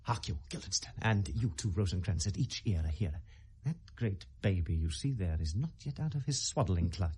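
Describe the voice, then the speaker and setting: A British actor playing a brooding, sardonic young prince feigning madness, theatrical and mercurial in a 1970s classical radio drama. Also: male voice, sits low, lively intonation, a little fast, a little mellow, slightly rough, heavily acted. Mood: mocking. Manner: theatrical, mercurial, precisely declaimed.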